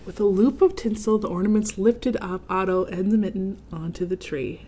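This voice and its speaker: A woman reading a picture book aloud to children, expressive and often turning to speak directly to the young listeners with questions and explanations. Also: mid-range voice, moderate pace, husky. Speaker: read-aloud host for children.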